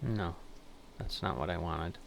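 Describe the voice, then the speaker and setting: A middle-aged man giving casual gameplay commentary and controller commands, thinking aloud in an unhurried way. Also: deep voice, creaky, a little hiss or hum. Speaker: gaming channel host playing air traffic controller.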